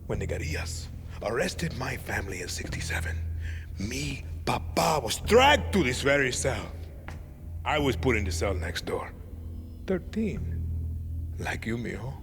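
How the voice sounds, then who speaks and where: Slow, gravelly, menacing dictator. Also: male voice, medium pitch, big pitch swings, over music. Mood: quietly menacing. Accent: Latin American Spanish. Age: middle-aged. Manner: slow dramatic oratory dropping to whispers. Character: middle-aged male dictator, calm, menacing, grandiose orator.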